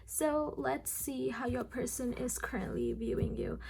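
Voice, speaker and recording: A young woman speaks with a hoarse, raspy voice, slightly high and gravelly, after losing her voice. She chats directly to her viewers through a casual tarot reading, filling pauses with ums, and faint noise sits under the recording. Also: big pitch swings. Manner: chatty, addresses viewers directly with frequent ums. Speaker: tarot card reader.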